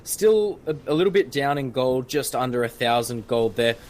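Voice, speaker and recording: A man's voice: medium pitch, expressive, moderate pace, a little mellow. A man giving live esports commentary in a jokey, slangy, playful way. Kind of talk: live game commentary with casual banter. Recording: clearly noisy background.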